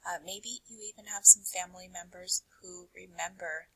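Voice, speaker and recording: A woman reading a children's picture book aloud in an expressive, dramatic way, with deliberate pauses and hushed phrase endings. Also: on the low side, husky, poor audio.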